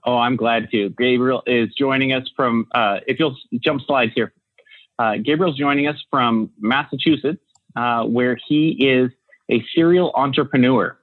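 A man's voice: medium pitch, unhurried, dry recording. Man co-hosting over dry, phone-like audio. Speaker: co-host introducing a guest. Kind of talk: conversational guest introduction.

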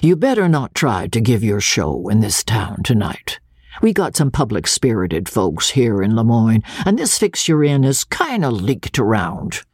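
A woman's voice: very deep voice, darkish tone, husky, no room sound, studio quality, exaggerated voice. A woman voicing a stern, threatening male small-town sheriff in an audiobook, with folksy menace.

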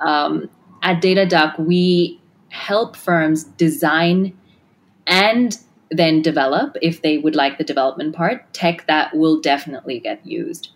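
A woman's voice: low-pitched, measured pace. A woman who grew up in Kenya and Australia, founder of a tech design firm, answering interview questions thoughtfully with many fillers and restarts.

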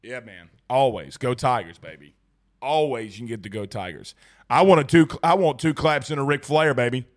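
A young man hosting a post-game sports livestream, hoarse and losing his voice, excitable and rambling as he reads viewer messages. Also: mid-range voice, moderate pace. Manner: excitable, rambling, repeats himself. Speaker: sports talk show host. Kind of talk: excited post-game livestream chat reading super chats.

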